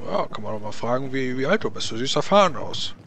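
A man's voice: mid-range voice, very animated, quick pace, fairly bright tone, a little hiss or hum. Man playing a blunt, curious dwarf. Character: blunt, curious dwarf proud of big family. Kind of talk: in-character tabletop roleplay.

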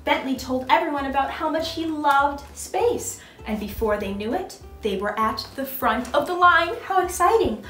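A young woman hosts a children's storytime, narrating and quizzing little listeners. Her voice is high and very animated. It is recorded in an echoey room. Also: normal speed, hardly any pauses, soft background music. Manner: expressive, instructive, addressing small children. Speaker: costumed storytime host reading as a princess.

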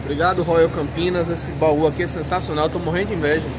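A man's voice: fairly high pitch, little pitch movement, fast. A man from Brazil chatting and joking casually on the roadside during a motorcycle trip. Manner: joking, playful. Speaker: riding companion. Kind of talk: casual chat. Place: outdoors by the road. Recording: noisy outdoor roadside sound.

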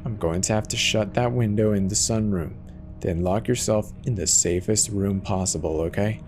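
A low-pitched male emergency dispatcher , played by a man, speaks in a steady, reassuring way. It is a horror audio drama performance, with faint music beneath the voice. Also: normal speed, close to an everyday voice. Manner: calm, reassuring, soft-spoken urging.

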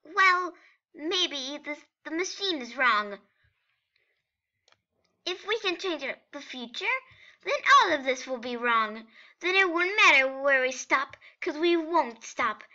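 A muffled, lo-fi comic dub of an earnest skeleton brother acted by a woman. The voice is high and very bright, with dramatic, pleading, emphatic delivery that is full of hope. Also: lively intonation, takes it slowly, exaggerated voice. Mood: desperate. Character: earnest, excitable skeleton brother, theatrical and hopeful. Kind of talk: amateur comic dub acting.

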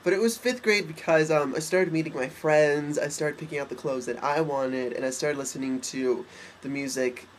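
A man's voice: on the high side, a little hiss or hum. A young man with a stuffed, nasal voice, talking in a campy, cheeky way to his webcam in a bedroom. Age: young adult. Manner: campy, cheeky, quick asides. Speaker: vlogger. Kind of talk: casual webcam vlog. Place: bedroom, indoors. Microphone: webcam at desk.

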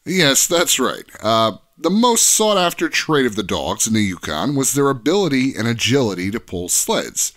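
A man's voice: medium pitch, sing-song, moderate pace, few pauses, fairly bright tone. A man giving an informative, scripted-sounding podcast explanation as a guest expert, with an articulate storytelling delivery.

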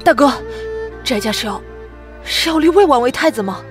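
A woman playing a timid, deferential boy prince with a husky voice in a historical audio drama. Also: high voice, lively intonation, moderate pace, over music. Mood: subdued, curious.

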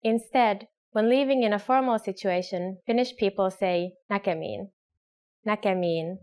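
A young woman reads a scripted lesson straight to camera. She says each phrase slowly and clearly, as a model for learners. It was recorded on a studio mic. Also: mid-range voice, a little mellow. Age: young adult. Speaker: language teacher.

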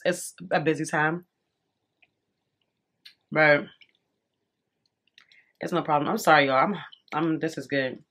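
Chatty woman, slightly congested, low voice. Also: fairly bright tone. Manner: chatty, addresses viewers as y'all, eats while talking. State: a little congested. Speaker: vlogger. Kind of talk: casual chat to camera. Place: bedroom at home.

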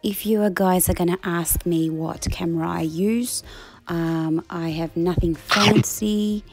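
A relaxed woman in her thirties talks through her breakfast at home, drifting from thought to thought with plenty of ums. Her voice sits a little low and carries a gravelly fry, picked up by a phone on a tripod, with faint music playing behind her. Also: slow pace. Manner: relaxed, rambling, many ums. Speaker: food vlogger. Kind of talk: casual chatty cooking vlog. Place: home kitchen and dining table indoors.

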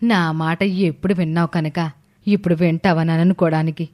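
A woman's voice: on the low side, fairly even intonation, moderate pace, a little mellow, natural voice. A woman playing a stern, aggrieved older mother in a cartoon, reproachful and firm.

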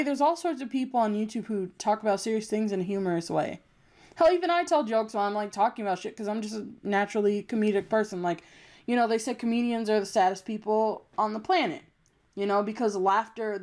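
A woman's voice: medium pitch, darkish tone. A young adult woman with a youthful voice, delivering a blunt, emphatic, unscripted commentary rant with frequent swearing. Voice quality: young-sounding voice. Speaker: YouTube commentator.

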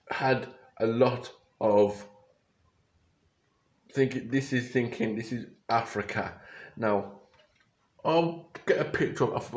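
A man's voice: medium pitch, echoey room. A young man rambling casually through a reaction vlog, often restarting and correcting himself, recorded on a close webcam in a small bedroom. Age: young adult. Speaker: YouTuber reacting to photos. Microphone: webcam or phone camera at close range.

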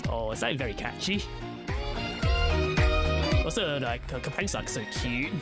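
A woman's voice: a bass voice, lively intonation, normal speed. A woman hosting a casual reaction video from home, talking in a chatty way with lots of "like.". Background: loud trailer music.

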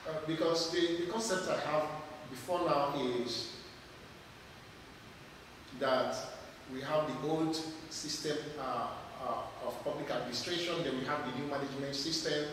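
A young man in the audience of a lecture room asks a long, halting question full of fillers. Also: medium pitch, steady tone, background noise. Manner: hesitant, halting, many fillers. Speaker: audience member asking a question. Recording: echoey hall from far off.

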